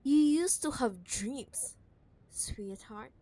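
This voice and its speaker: A woman playing a possessive, condescending wealthy CEO ex-girlfriend in an intimate cyberpunk audio roleplay, teasing and scornful with drawn-out emphasis. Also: high voice, expressive, mellow, no room sound, full character voice. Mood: exasperated, scornful. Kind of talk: ASMR audio roleplay, intimate dramatic monologue.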